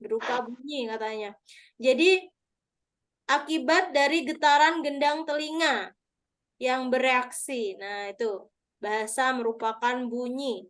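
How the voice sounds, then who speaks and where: A woman with a high, light voice leads an online review class. She explains terms step by step, patiently checking answers with her participants over a video call. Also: unhurried, pauses often, a bit bright. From Madura. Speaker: teacher. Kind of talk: online exam review lesson.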